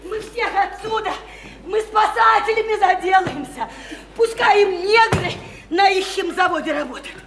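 High-pitched, overwrought provincial woman. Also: moderate pace, fairly bright tone, slight background noise. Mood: giddy excited. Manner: gushing, repetitive, colloquial, hysterically elated. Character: overwrought, pleading provincial woman desperate to escape her town. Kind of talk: theatrical Russian radio play acting. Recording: roomy, echoing stage-like radio play recording.

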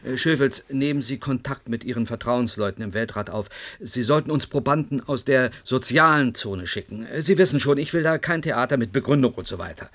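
A man's voice: medium pitch, a bit bright, close to an everyday voice. Domineering, impatient male project chief played by an actor. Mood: brusque, challenging. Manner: commanding, insistent, deliberate. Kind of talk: German radio play acting.

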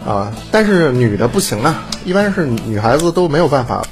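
爱调侃的男人，背景音乐明显. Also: male voice, mid-range voice, big pitch swings, moderate pace. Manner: teasing, repeats himself. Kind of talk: casual bantering chat. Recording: clear music behind the voice. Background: background music.

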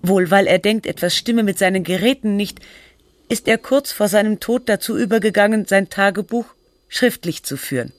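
A woman's voice: mid-range voice, moderate pace, dry recording, lightly acted. A woman playing a sober, literary narrator and researcher in scripted documentary-style radio drama. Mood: measured, factual.

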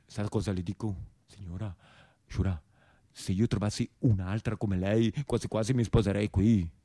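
A man's voice: fairly low pitch, steady tone, normal speed, dark tone, no echo. An actor playing a bashful, clumsy young northern Italian man with a Lombard accent, stammering and apologetic in a theatrical monologue. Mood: rambling, pleading.

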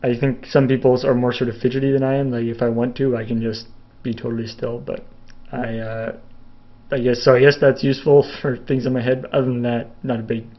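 A man's voice: medium pitch, little pitch movement, a little fast, muffled recording. A young man from Canada, chatting casually as a video host and answering viewer questions in a rambling way, with lots of fillers and restarts. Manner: rambling, many fillers and restarts, laughs a little. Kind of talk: casual live Q&A chat.

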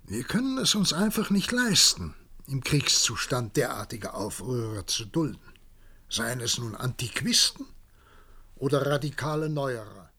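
A man's voice: mid-range voice, sing-song, a little slow, bright, forceful tone, husky, full character voice. An actor playing a stern, resolute male government leader in a radio play, speaking formally and emphatically like an orator. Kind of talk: German science-fiction radio play acting.

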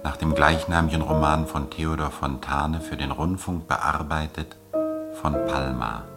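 A man formally announcing a radio drama and reading its cast in a measured, solemn manner. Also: extremely low pitch, steady tone, loud music behind the voice.

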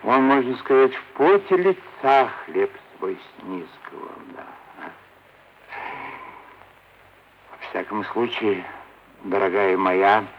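Casting: unctuous middle-aged schemer, pompous and coaxing, slow and swooping, classic radio drama. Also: male voice, lowish voice. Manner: unctuous, pompous, deliberate officialese with coaxing diminutives. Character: oily scheming merchant's son-in-law, pompous, wheedling, middle-aged official. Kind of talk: theatrical classic Russian stage acting. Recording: dull vintage radio recording, faint hiss.

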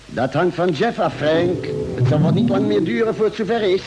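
A man playing a ship's doctor who narrates a Mars expedition in a deliberate, articulate radio drama style. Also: high voice, lively intonation, over loud music. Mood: brisk, alert.

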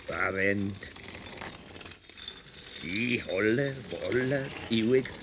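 Clear mechanical noise surrounds a brooding, gravelly announcer of an infernal radio station. He speaks very slowly in broken fragments, switching between German and English as in an experimental radio play. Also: fairly low pitch, exaggerated voice. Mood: brooding, halting. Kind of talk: experimental radio drama monologue.

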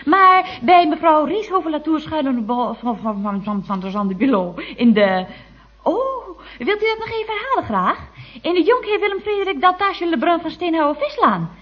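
An actress playing a polite, curious office secretary with a questioning singsong manner in a vintage radio comedy. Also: female voice, high-pitched, slightly rough, full character voice. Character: polite, curious female office secretary, amused straight foil. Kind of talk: 1960s-70s Dutch radio comedy acting. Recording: dull vintage radio recording.

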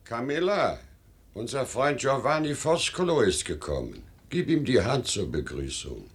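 A man's voice: mid-range voice, sing-song, measured pace, husky, full character voice. An actor playing a good-natured but anxious middle-aged father in a radio play, speaking formally and earnestly. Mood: solemn, urging. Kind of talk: German radio play acting.

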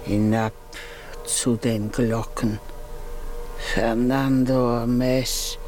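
Slow, solemn, fatalistic man. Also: mid-range voice, level tone, a little mellow. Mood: solemn, resigned. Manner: measured, declamatory, solemn. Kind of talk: 1950s German radio drama acting. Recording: 1950s radio drama with music behind.

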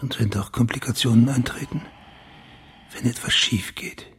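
An actor playing a sceptical, probing senior police official in a radio crime drama, with a dry, guarded manner. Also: male voice, mid-range voice, fairly even intonation, normal speed, slight background noise, close to an everyday voice. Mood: uneasy, questioning.

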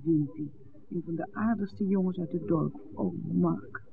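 A woman's voice: lowish voice, unhurried, vocal fry, narrow, tinny audio. An actress playing an outspoken young village woman, an innkeeper's daughter, in a 1960s radio drama. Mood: sorrowful. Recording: thin, hissy old radio recording.

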